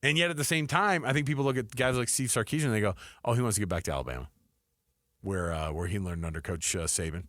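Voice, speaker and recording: A man's voice: fairly low pitch, quick pace, a bit bright, dry recording. Man chatting sports in a dry studio. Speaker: sports radio show co-host. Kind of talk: casual sports talk.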